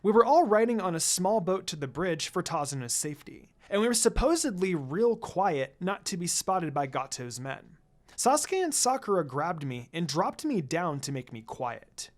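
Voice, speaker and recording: Story reader for fan fiction, first-person narration, very varied pitch. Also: sing-song, moderate pace.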